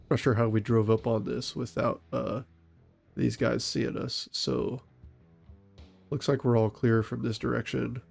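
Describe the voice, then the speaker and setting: A man with a stuffy, congested voice giving calm, methodical commentary on a tactical game. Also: mid-range voice, gravelly, slightly rough. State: stuffy from a cold. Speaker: gaming channel host and SWAT operator.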